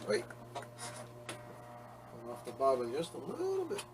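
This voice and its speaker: Leatherworker chatting while working, wry and self-mocking, ordinary mid voice, clear shop noise behind. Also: male voice, mid-range voice, wildly swooping pitch, slow pace. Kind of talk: casual workshop narration. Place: workshop.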